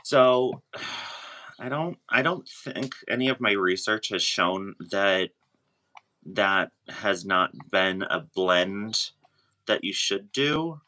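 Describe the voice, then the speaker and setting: A man chatting casually as a guest, sharing his own experience in a halting way, often rephrasing himself. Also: lowish voice, expressive, sharp tone. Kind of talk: casual chat.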